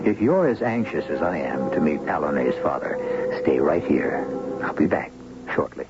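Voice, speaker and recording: A man hosting a 1970s radio mystery show with measured, knowing scripted narration. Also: very deep voice, very animated, lo-fi sound, over loud music. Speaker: host. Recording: band-limited 1970s radio broadcast recording.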